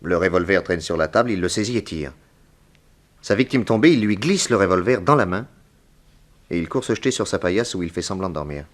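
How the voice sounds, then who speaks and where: An actor playing a calm, methodical detective in a 1960s French radio drama, probing with deliberate courtesy. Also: male voice, low-pitched. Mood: reasoning. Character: calm, methodical male detective questioning a witness.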